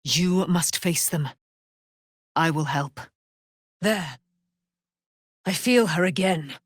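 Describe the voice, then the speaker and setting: An actress playing a proud, righteous immortal warrior, daughter of a moon goddess, with grandiose, formal delivery in a fantasy video game. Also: female voice, lowish voice, takes it slowly, husky, no echo, clean studio sound. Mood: resolute, grave.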